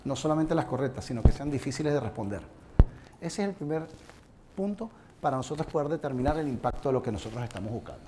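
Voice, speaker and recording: A man's voice: mid-range voice, expressive, fast. A man from Venezuela presenting a seminar talk to a live audience, speaking earnestly and addressing them directly with advice. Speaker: conference presenter. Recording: noisy room recording.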